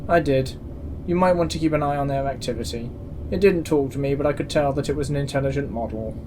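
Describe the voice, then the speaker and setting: Over clear background noise, a male actor plays a space station commandant in a sci-fi audio drama. He is stern and exasperated, speaking in a mid-pitched male voice with curt authority. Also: quick pace, close to an everyday voice. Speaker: military commandant. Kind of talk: science-fiction audio drama acting.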